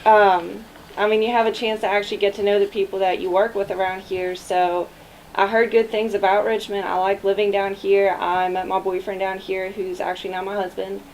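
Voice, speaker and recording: A woman's voice: mid-range voice, little pitch movement, brisk, a little mellow, rough recording. A young woman from Germany who moved to the US as a child, a police officer answering interview questions conversationally. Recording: noticeable background noise.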